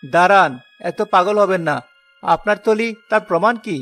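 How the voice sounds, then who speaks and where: A high-pitched male porter, played by a man, honest and steadfast. He speaks with firm principle in an animated folk tale, with music underneath. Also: normal speed. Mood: firm, doubting.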